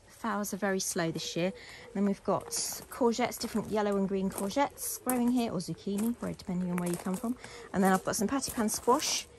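A woman's voice: medium pitch, brisk, darkish tone, slight background noise. A woman with an English accent giving a chatty, casual commentary as she shows viewers around, recorded outdoors on a handheld device. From England. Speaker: gardening vlogger. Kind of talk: casual garden tour commentary. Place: outdoors walking around a garden. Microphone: handheld phone or camera behind the lens.

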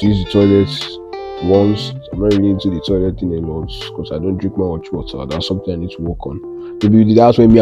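A young man with a Nigerian accent chatting casually to camera as a travel vlogger, telling stories with Pidgin slang and quoting himself. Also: fairly low pitch. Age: young adult. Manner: animated storytelling with Pidgin slang and self-quoting. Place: living room, indoors.

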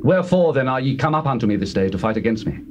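An actor playing a proud, solemn Old Testament war leader in a measured, declamatory scripture drama. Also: male voice, mid-range voice, animated, close to an everyday voice. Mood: defiant. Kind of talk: dramatized scripture reading, classical stage delivery. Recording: muffled old cassette, clear hiss.

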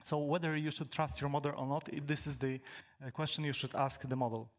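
Halting young man giving a talk. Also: on the high side, fairly even intonation. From Poland. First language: Polish. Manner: halting, many fillers and restarts. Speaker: conference speaker. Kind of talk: technical talk to an audience. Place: large hall with stage, indoors.

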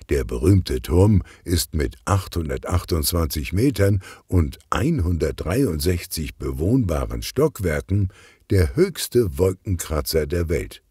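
A man narrates a children's crime audiobook with measured expression. His voice is low, dark and husky, and the pacing is slow. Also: no room sound, clean studio sound.

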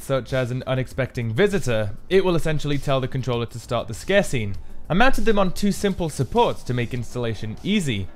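Male maker, mid-pitched, scripted explainer narration for a build video, music underneath.

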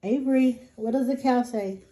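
A woman slowly coaxing a baby with animal sounds and finger games, indoors at home. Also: fairly high pitch, warm tone. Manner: slow, coaxing, sing-song baby talk. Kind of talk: talking to a baby.

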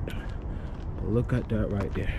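A man's voice: lowish voice, slow, gravelly, dull audio. A young man, a truck driver, chatting casually to his viewers while walking around his truck outdoors, filmed on a handheld action camera. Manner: relaxed, chatty, addresses viewers as guys. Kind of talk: casual walkaround vlog talk to viewers. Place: outdoors beside a truck trailer in an open lot. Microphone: action camera held at arm's length. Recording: outdoor wind and traffic noise.

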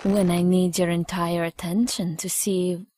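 A woman's voice: fairly low pitch, little pitch movement, unhurried, dark tone, slightly rough. An actress playing a shrewd, playful woman adventurer in a radio drama.

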